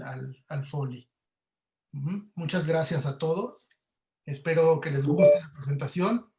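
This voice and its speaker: A Mexican man giving an informal webinar lecture, explaining politely and a bit haltingly over a video call. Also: a little high. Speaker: sound artist giving a talk. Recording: roomy, echoey video call audio.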